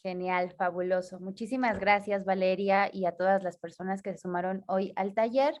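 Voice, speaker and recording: A Mexican woman with a mid-range voice hosts a workshop over a video call. She sounds upbeat and brisk. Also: mid-range voice, fairly even intonation, a little mellow, lo-fi sound. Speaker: workshop host. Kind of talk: moderating.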